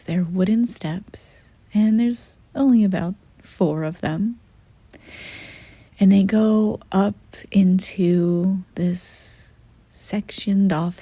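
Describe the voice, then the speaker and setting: A woman narrates a guided meditation very slowly, in a soft and breathy voice that sits close to a whisper. Her delivery is gentle and unhurried, with frequent pauses. The sound is dry and intimate, with hardly any room to it. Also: fairly low pitch, no echo.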